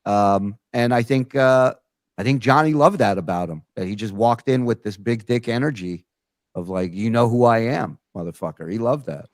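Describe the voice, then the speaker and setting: A man's voice: mid-range voice, moderate pace, no echo, studio quality. A man hosting a casual talk show, telling stories in a blunt, profane way. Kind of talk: casual conversational storytelling.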